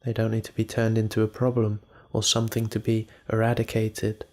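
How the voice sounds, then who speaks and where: Evenly pitched man guiding meditation. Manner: calm, measured, with unhurried pauses. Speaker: meditation guide. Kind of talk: guided meditation narration.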